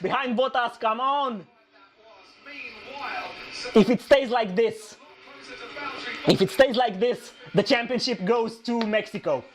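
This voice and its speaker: A man reacting live to a motor race, excitable and repeating himself, his voice hoarse and nearly gone from shouting. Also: extremely high pitch, takes it slowly, long pauses.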